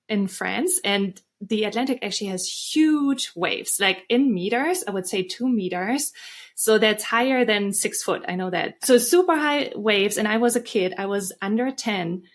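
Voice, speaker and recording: A woman's voice: medium pitch, animated, unhurried. A woman from Germany with a German accent, telling stories conversationally as a podcast guest. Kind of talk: casual conversational storytelling.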